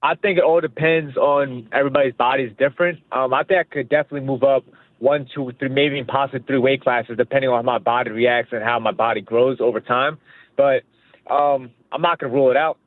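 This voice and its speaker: A man's voice: mid-range voice, fairly even intonation, fast talker, no echo. A young man from Pakistan, a boxer being interviewed over the phone, talking casually and confidently and often repeating himself. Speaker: boxer guest. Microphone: phone line. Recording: phone-like narrow line, dry.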